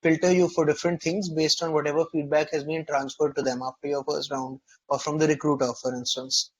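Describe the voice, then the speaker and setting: Articulate higher-pitched male interview coach. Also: flat delivery, brisk, low-quality recording. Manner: articulate, explanatory, constructive. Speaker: interview coach giving feedback. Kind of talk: conversational mock interview feedback.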